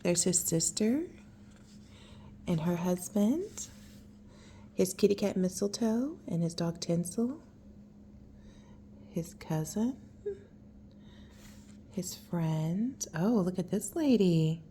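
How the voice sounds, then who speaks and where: A woman's voice: lowish voice, lively intonation, takes it slowly, pauses often, warm tone. Woman reading a storybook, noisy recording. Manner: folksy, cooing remarks on the pictures. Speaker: storyteller reading picture books for children. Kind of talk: bedtime read-aloud with casual asides.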